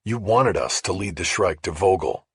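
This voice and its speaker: A man acts out a suspicious male journalist in an audiobook thriller. He is blunt and pressing with his questions, his voice very husky and recorded dry. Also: low-pitched, expressive, normal speed, dry recording, full character voice. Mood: accusing, heated.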